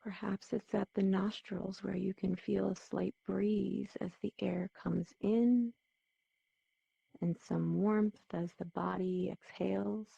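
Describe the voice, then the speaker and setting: A woman guides a meditation very gently, with long, unhurried phrasing and an even, barely varying pitch. Her voice is soft-edged and dark, with a strong creak running through it. The recording is dry and slightly muffled. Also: dry recording. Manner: soothing, gentle, unhurried with pauses. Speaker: meditation teacher.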